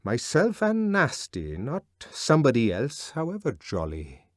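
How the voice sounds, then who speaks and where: A man's voice: medium pitch, huge pitch swings, measured pace, no echo, studio quality, voice pushed up. A man voicing a brooding, defiant young man. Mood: intense. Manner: earnest, brooding, sometimes shouting. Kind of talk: audiobook character voice.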